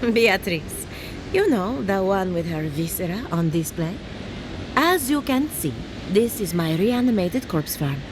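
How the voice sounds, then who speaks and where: A woman's voice: mid-range voice, animated, a little slow, background noise, close to an everyday voice. An actress voicing a cheerful, eccentric woman necromancer farmer in a video game, theatrical and breezy about gruesome things. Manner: theatrical, breezy, matter-of-fact about gore. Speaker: quest-giving farmer.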